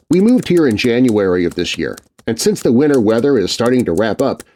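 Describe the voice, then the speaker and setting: A man narrating a scary children's story aloud, reading expressively and dropping to a hush at tense moments. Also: medium pitch.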